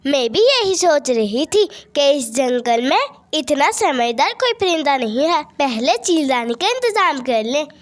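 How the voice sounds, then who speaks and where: A woman voicing a sensible, ageing female sparrow who speaks in a deliberate, sisterly way in a cartoon. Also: sits high, very animated. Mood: thoughtful.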